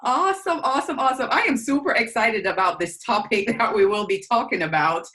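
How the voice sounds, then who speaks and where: A woman's voice: fairly high pitch, expressive, a little fast, sharp tone. A woman hosting a fitness podcast, enthusiastic and chatty, often repeating words. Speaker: podcast host. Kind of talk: casual podcast interview. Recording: faint music underneath.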